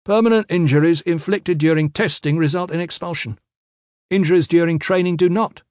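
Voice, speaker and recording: Man playing a cool, resolute young warrior, pitched up. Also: lively intonation, moderate pace, dry recording, clean studio sound. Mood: cool, loud. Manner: loud, clear, cool, unapologetic. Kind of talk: dramatised fantasy audiobook dialogue.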